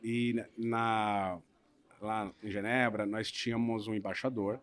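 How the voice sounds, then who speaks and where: A man from Brazil, a lawyer in his thirties, telling the story of his career in a relaxed podcast conversation, often checking in with "né?". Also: fairly low pitch, slow, clean tone, dry recording. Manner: relaxed storytelling, hedging with frequent "né?". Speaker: guest lawyer. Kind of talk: casual podcast interview.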